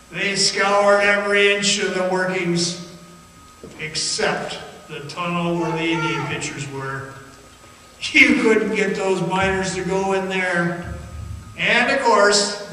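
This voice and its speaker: A man's voice: high-pitched, pushed and bright, exaggerated voice. An actor playing an earnest, haunted young man in a radio theatre monologue, confiding, insistent and jittery. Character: earnest, haunted young man recalling being lost underground. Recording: echoey hall, distant, faint noise.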